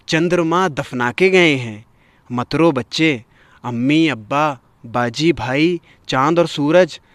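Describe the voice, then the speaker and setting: A man hosting a poetry gathering, reciting verse and commenting on it in a measured, expressive, literary way. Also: a little high, no room sound.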